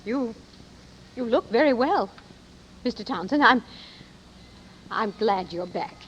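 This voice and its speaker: A nervous, fussing landlady stammers in a high, lively voice that swoops around. She talks slowly over a faint hiss on a dull old radio recording. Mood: flustered. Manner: stammering, breaks off her sentences, fussing. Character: flustered, fawning landlady. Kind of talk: 1940s radio drama acting.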